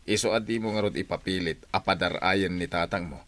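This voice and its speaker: A man's voice: sits low, a little fast, a bit bright, no echo. A man playing a sneering, threatening middle-aged uncle in a radio drama. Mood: menacing, taunting. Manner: taunting, threatening. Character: sneering, menacing uncle, mother's new partner. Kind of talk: Filipino radio drama acting.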